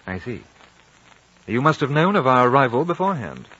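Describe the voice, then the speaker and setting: A composed, reasonable British gentleman, with a middle-pitched voice. He speaks with measured courtesy and a quietly probing air, on a dull old radio drama recording. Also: sing-song, slightly rough. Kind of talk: 1950s radio drama acting and narration.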